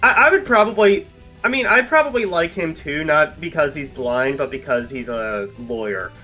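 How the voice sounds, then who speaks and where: A man's voice: on the high side, expressive, moderate pace, sharp tone, soft background music. A man in casual chat, swearing freely and restarting his sentences often.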